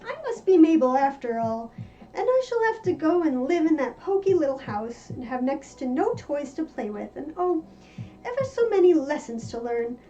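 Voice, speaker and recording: A woman's voice: high voice, big pitch swings, normal speed, mellow. A woman voicing an anxious, bewildered little storybook girl in a dramatic, exclaiming manner. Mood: stubborn. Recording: muffled lo-fi with music behind.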